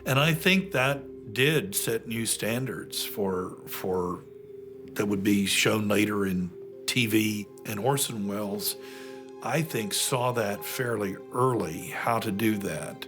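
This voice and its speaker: Halting, lowish-pitched man commenting over music. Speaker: documentary commentator. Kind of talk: unscripted interview commentary. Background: prominent music.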